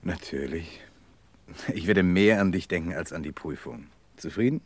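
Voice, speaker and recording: Deep-voiced, impatient young student. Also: male voice, normal speed, a little mellow, husky. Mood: impatient reassurance. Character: young architecture student leaving his fiancée, dismissive, uneasy. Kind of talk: German radio play acting.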